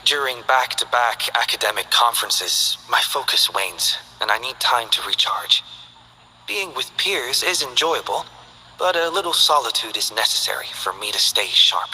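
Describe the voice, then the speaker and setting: An actor playing a calm, reflective male scholar who speaks in a measured, precise way in game character voice lines. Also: medium pitch, a little hiss or hum, rough recording. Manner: measured, thoughtful, precise delivery.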